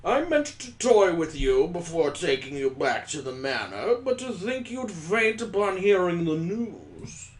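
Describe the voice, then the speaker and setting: A man voicing a sleazy, menacing male villain from a visual novel, in a slow and leering delivery. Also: high voice, big pitch swings, echoey room, rough recording.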